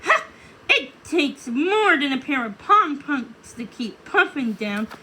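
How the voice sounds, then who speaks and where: A woman's voice: high-pitched, sing-song, slow, sharp tone, a little hiss or hum, full character voice. A woman voicing a pompous, brash male puffin soldier with a formal, boastful, blustering manner for a storybook. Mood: indignant, blustering. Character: pompous, brash military puffin, male, hot-headed but gallant.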